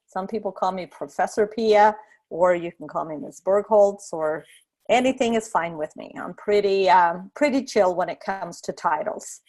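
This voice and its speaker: A woman from Sweden, a design instructor, giving a relaxed online lecture on a video call with many fillers and restarts. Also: mid-range voice, moderate pace.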